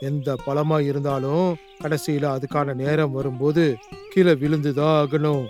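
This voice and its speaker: Man voicing a moralizing tree, slightly high voice. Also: level tone, music in the background, lightly acted. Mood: admonishing. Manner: measured, moralizing. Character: wise, admonishing old mango tree in a cartoon fable.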